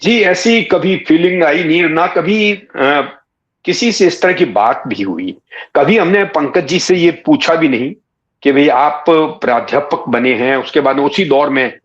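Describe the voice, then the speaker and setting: A man's voice: fairly high pitch, lively intonation. Man in his sixties, muffled recording. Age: 60s. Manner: unhurried storytelling with frequent hesitant pauses. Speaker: interview guest, writer and former cricket commentator. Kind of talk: reminiscing in a conversational interview. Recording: dull, muffled lo-fi audio.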